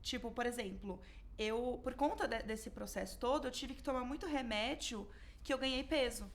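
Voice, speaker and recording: A Brazilian woman co-hosts a podcast in casual chat, her voice high and her delivery lively and expressive. A faint noise runs underneath the recording. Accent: Brazilian Portuguese. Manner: lively, expressive, quick to laugh. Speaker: podcast co-host.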